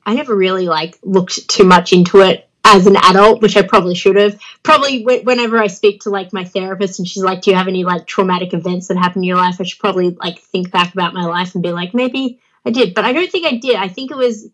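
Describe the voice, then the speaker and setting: A woman's voice: medium pitch, fast talker, hardly any pauses, fairly bright tone, low-quality recording. A young Australian woman chatting as an interview guest, with a phlegmy throat, laughing often and using many fillers. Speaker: guest, pro wrestler. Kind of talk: casual interview chat.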